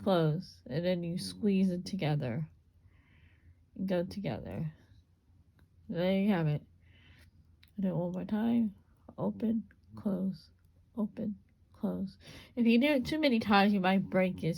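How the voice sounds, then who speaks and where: A woman with a gravelly, hoarse voice demonstrates a small craft step by step. Her talk is relaxed and unscripted, with plenty of repeats as she works. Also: on the low side, unhurried, long pauses. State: voice going hoarse. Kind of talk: casual hands-on craft demonstration.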